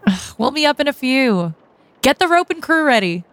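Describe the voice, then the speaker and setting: A woman's voice: high voice, very animated, moderate pace, no room sound. A woman playing a breezy, playful ship captain who is easily flustered, in an audio drama. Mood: breezy, teasing. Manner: jaunty, teasing.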